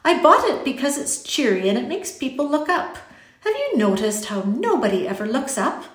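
A woman voicing a whimsical, courteous little gentleman in a storybook, with genteel, exclamatory, formal phrasing. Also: sits high, very animated, moderate pace, echoey room, pitched above the performer's own voice. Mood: irritable. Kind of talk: audiobook character voice.

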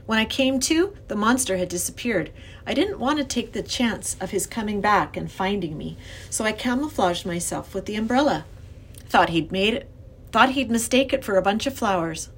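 A woman's voice: mid-range voice, expressive, moderate pace, a little hiss or hum, natural voice. A woman voicing a kindly, anxious older male professor with genteel, old-fashioned phrasing, in an acted children's storybook reading. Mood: explanatory.

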